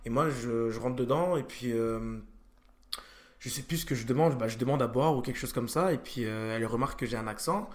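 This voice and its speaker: A young man from France hosts a relaxed conversation at a middle pitch. He hesitates often, restarting his sentences and filling pauses. The room around him is echoey. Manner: hesitant, many fillers and restarts. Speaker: podcast host. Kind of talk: casual chat.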